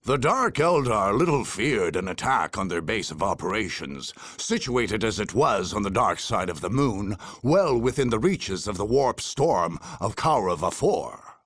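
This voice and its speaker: An actor playing a grave, portentous narrator of a dark war chronicle in a game cutscene, with a gravelly, husky voice and measured delivery. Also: male voice, fairly low pitch, very animated, no echo, full character voice.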